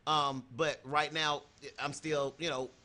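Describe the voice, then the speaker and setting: An earnest man talks through his answers on a discussion panel. His voice is bright and sits a little higher than usual, and he circles back with "you know" and restarts his sentences. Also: little pitch movement, takes it slowly. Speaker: panel guest.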